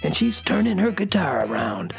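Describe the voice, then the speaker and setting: A cool beatnik cat storyteller, acted by a woman for children. The voice is fairly low and full of fry, with lively swings and a laid-back, slangy delivery. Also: moderate pace, faint music behind, full character voice. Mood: hip, inviting. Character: hip beatnik cat storyteller addressing little hepcats.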